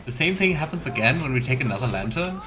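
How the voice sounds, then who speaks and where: Male game streamer, medium pitch, faint ambience. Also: expressive, quick pace, noisy background. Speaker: streamer and let's player. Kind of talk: casual game commentary with chat, some read-aloud. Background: faint video game ambience.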